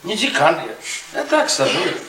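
A man's voice: fairly high pitch, wildly swooping pitch, moderate pace, bright, forceful tone, exaggerated voice. An actor playing a bitter, mocking middle-aged history professor in a radio play, rambling and repeating his words sardonically. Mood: sardonic rambling. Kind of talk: theatrical Soviet radio play acting. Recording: roomy, distant 1980s radio play recording.

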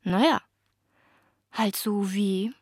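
A woman's voice: mid-range voice, extremely slow, darkish tone, slight background noise. An actress playing a wry young woman in a radio drama, confiding chattily and mimicking her own thoughts. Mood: confessional. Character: wry young woman longing for the city she left.

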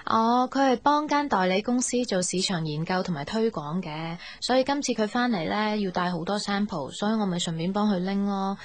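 A woman playing a gentle, affectionate young daughter in a radio drama, speaking conversational Hong Kong Cantonese. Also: mid-range voice, moderate pace, few pauses, natural voice. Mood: affectionate, chatty. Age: young adult. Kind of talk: radio drama monologue and dialogue.

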